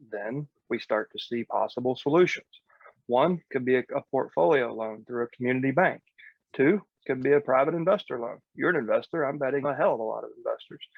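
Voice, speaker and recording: A man's voice: mid-range voice, no echo, lo-fi sound. A man giving casual, blunt coaching as a real estate podcast host, explaining step by step in informal, mildly profane language.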